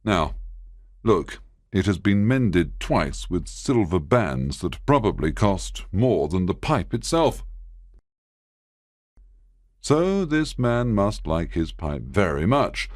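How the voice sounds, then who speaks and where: A man voicing a brilliant, confident detective in a storybook reading, explaining his deductions in a measured, slightly impatient way. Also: low voice, very animated, dry recording, studio quality, heavily acted. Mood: assured, explaining.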